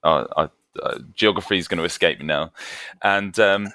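A British man, a band vocalist guesting on a podcast, casually telling anecdotes and acting out the dialogue in an animated way. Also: sits low, normal speed. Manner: animated, acts out quoted dialogue.

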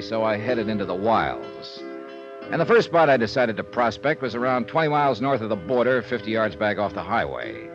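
An actor playing a shrewd, easygoing American investigator in a 1950s radio detective drama, speaking casually and wryly. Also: male voice, fairly low pitch. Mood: wry narrating. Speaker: lead actor and narrator. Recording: dull 1950s radio recording, music behind.

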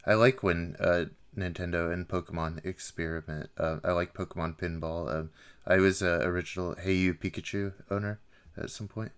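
A man co-hosting a podcast, chatting casually in a halting, musing way. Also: low voice, fairly even intonation, clean tone. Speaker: podcast co-host. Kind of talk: casual chat.

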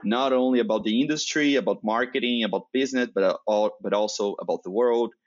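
A man from Brazil, a Portuguese native speaker with a Brazilian accent, hosting a podcast interview in a measured way with frequent "uh" fillers. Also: lowish voice, highs cut off. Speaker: podcast host. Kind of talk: semi-scripted podcast intro and interview.

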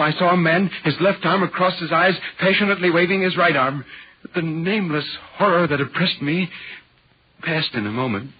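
An actor playing an earnest, concerned gentleman writer in a 1940s radio ghost drama, speaking with measured, deliberate literary phrasing. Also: medium pitch, slightly rough. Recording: muffled, worn 1940s radio recording.

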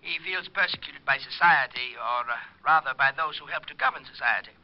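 An actor playing a scholarly, deliberate asylum psychiatrist, hesitant and precise, in old radio drama style. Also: male voice, on the high side, lightly acted. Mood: clinical. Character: pedantic, deliberate male asylum psychiatrist explaining a madman. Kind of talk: 1940s-50s radio drama acting. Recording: dull vintage radio recording.

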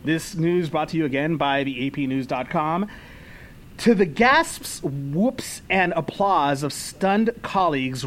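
A man's voice: a little high, a little hiss or hum. A man from Los Angeles hosting a casual podcast, reading news headlines playfully and bantering, laughing and tripping over words.

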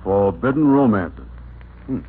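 An actor playing an unimpressed, dry and folksy buddy in an old radio sitcom. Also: male voice, lowish voice, lively intonation, a little slow, husky. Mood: skeptical, teasing. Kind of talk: 1940s-50s radio sitcom acting. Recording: tinny old radio recording, hiss.

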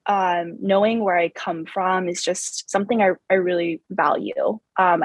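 A thoughtful American woman in her thirties, her voice slightly husky and pitched a little low. She talks through her experiences in a relaxed interview, full of ums and restarts. The sound is close and dry. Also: no room sound. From Southern California. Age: 30s. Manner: reflective, many fillers and restarts. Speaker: guest author. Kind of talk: conversational interview.

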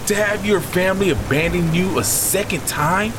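An actor playing a bitter, grieving brother who talks in a raw, anguished way. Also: male voice, high voice, normal speed, natural voice. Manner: raw, anguished, shifts from resentful to shouting. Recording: noisy storm backdrop.

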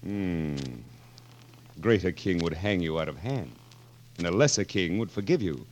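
Low-voiced, sly, swooping old king. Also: animated, measured pace, a little mellow. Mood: stern. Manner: hesitant, musing, sly, regal. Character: wily old king of France in disguise, sardonic. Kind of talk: 1940s radio drama acting. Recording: vintage 1940s radio broadcast.

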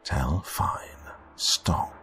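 A man's voice: extremely slow, fairly bright tone. A man voicing a sinister voice inside someone's head, in a scratching whisper with broken, clipped commands for a horror story. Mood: insistent, commanding.

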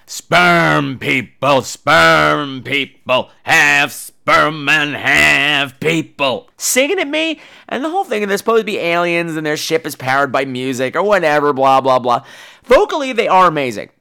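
A man's voice: a little high, sing-song, unhurried, creaky, pushed and bright, smooth. A man hosting a podcast, telling casual comic stories in a gossipy, catty, profane way with dramatic emphasis. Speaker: podcast host.

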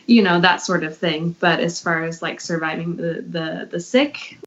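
A woman's voice: on the low side, fairly even intonation, moderate pace. A woman talking casually as part of a group panel. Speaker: voice actor on a panel. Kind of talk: casual chat. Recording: dull lo-fi audio.

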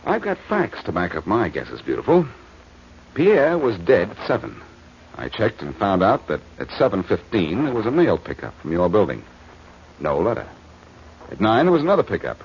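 Smug wisecracking detective in old radio play, low voice swinging all over, muffled tape. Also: male voice, wildly swooping pitch, moderate pace, a little hiss or hum. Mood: smug, explaining. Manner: wisecracking, slangy, emphatic. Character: cocky, quick-witted male amateur detective, teasing and accusing. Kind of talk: 1940s radio crime drama acting.

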